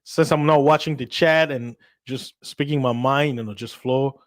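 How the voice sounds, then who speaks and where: A man's voice: mid-range voice, fairly even intonation, moderate pace. A man from Central Africa whose first language is Lingala, talking to a livestream audience in a casual, emphatic monologue full of repetition, restarts and rhetorical questions. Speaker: livestream host.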